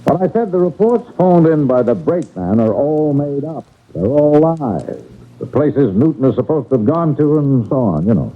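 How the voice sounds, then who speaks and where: An actor playing a shrewd, genial but probing detective agency chief in a folksy, deliberate old-time radio drama style. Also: male voice, medium pitch, very animated. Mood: brisk, confiding. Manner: folksy, deliberate, knowingly insinuating. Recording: thin, phone-like old radio broadcast.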